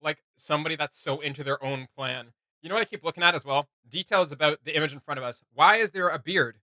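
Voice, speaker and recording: A man's voice: on the high side, fast, pushed and bright, no room sound. A man hosting a casual reaction chat in a jokey, conversational way. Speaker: reaction show host.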